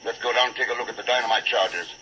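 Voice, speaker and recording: A composed, terse sleuth voiced by a man speaks at medium pitch through the heavy crackle of an old radio recording. The delivery is clipped and probing, in 1940s drama style. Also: racing, lightly acted. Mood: guarded, probing. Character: cool, terse, self-assured male investigator in a spy adventure.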